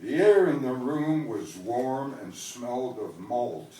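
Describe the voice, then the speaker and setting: Echoey, slow-reading man from Canada. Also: medium pitch, lively intonation, dark tone. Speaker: author reading his own work. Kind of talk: literary prose reading at a book launch. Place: indoor event venue, recorded from the audience. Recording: distant, echoey live-venue recording with faint noise.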